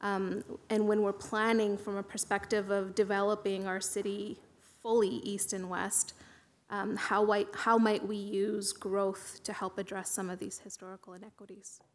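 A woman councillor asking a long, halting question in a council meeting, her voice a little worn. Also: mid-range voice, level tone. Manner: measured, halting with fillers. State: losing her voice. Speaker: city councillor. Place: council chamber.

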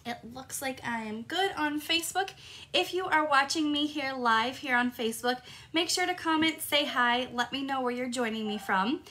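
A woman in her thirties hosting a live crafting tutorial from her home craft room, upbeat and a little hoarse, greeting viewers by name. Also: high voice, normal speed, bright, forceful tone. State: slightly hoarse, recovering from lost voice. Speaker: crafting demonstrator and host.

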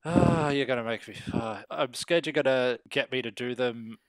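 Clear-voiced man in casual, rambling chat. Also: medium pitch, normal speed, no echo. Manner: self-deprecating, joking, rambling. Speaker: interview guest, amateur voice actor.

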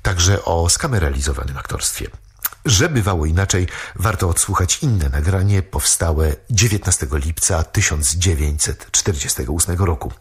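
A man presenting a documentary about early radio, speaking in a calm, measured way. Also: sits low, breathy edge. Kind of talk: documentary narration.

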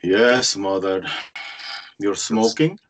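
Male actor as awkward young son, low pitch, husky, halting, dutiful, screenplay drama. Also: expressive, rough recording. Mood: dutiful, dry. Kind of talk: screenplay table read. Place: indoors on a group video call. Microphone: video call.

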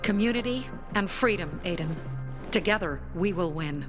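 Game rebel leader played by a woman: lowish female voice, firm orders, determined, music underneath. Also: animated, moderate pace, lightly acted. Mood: resolute, commanding. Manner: firm, commanding. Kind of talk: video game drama acting.

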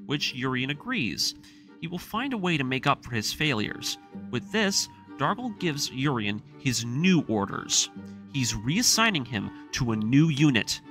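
A man's voice: mid-range voice, sharp tone. A man reading a scripted story recap as the narrator of a series. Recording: voice-over with music underneath. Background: music.

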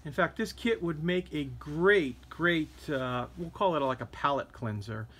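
Man explaining model painting, mid-high voice. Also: measured pace, husky. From United States. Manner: relaxed step-by-step explaining with fillers. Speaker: hobby shop host. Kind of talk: casual modeling tutorial. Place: hobby workbench indoors.

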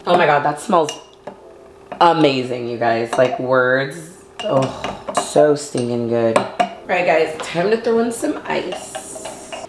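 A woman's voice: low-pitched, expressive, takes it slowly, very noisy. Bubbly woman vlogging in an echoey kitchen. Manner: bubbly, chatty, calls viewers babes and honey. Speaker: lifestyle vlogger. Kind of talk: casual chat to camera. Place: home kitchen, indoors. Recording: echoey kitchen, soft background music.

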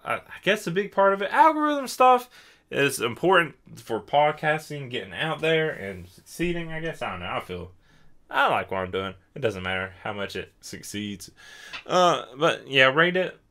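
A man's voice: fairly high pitch, sing-song, normal speed, sharp tone. A young man hosting a podcast, chatting casually in a rambling, self-deprecating way while a little tipsy. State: tipsy from drinking. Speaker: podcast host.

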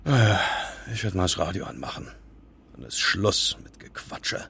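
A man's voice: sits low, sing-song, unhurried, fairly bright tone, exaggerated voice. A man playing a curt, irritable young student hacker in a German audio drama. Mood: irritated. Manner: terse, gruff, impatient.

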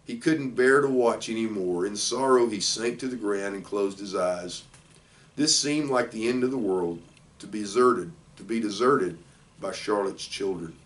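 An older man, around sixty, reading a children's storybook aloud in plain, measured short phrases. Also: fairly low pitch. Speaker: football coach reading a children's book aloud. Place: small indoor office. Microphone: camera a few feet away. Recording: distant microphone in a room.

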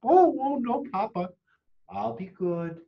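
A man voicing an eager, excitable little chicken girl who blurts and pleads like a child in a storybook. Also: extremely high pitch, slow, dull audio, pitched above the performer's own voice, exaggerated voice.

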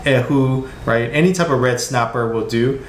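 A man's voice: medium pitch, normal speed. A man, giving a casual cooking demonstration in a home kitchen. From Hawaii, US. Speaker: home cook demonstrating a recipe. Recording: roomy kitchen echo with background noise.

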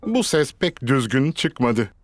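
A man narrating a children's cartoon as a storyteller, in simple, expressive scripted sentences. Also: mid-range voice, slow, no room sound.

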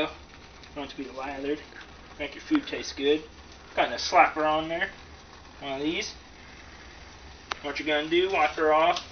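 A man with a slightly high voice rambles through a casual, jokey kitchen demonstration. His delivery is folksy and slangy. The sound is dull and lo-fi, picked up from a little distance with plenty of noise around him. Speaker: host of amateur comedic cooking show. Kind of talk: casual joking how-to demonstration. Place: home kitchen, indoors.

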